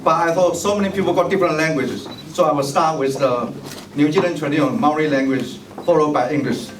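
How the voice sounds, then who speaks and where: Middle-aged Chinese-native man, formal ceremonial speech, slightly high voice, distant mic in a roomy hall with noise. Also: quick pace. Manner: formal, ceremonial, thanks many guests by name. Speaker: mayoral candidate giving a speech. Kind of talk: bilingual public speech, switching English and Chinese. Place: large hall at a tea party event. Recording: echoey hall, distant mic, noisy ambience.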